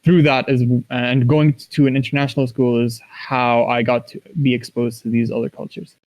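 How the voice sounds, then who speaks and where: A man's voice: mid-range voice, level tone, normal speed, darkish tone. A man from Kuwait speaking casually as an interview guest, thinking aloud in short, broken phrases. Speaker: interview guest, esports professional.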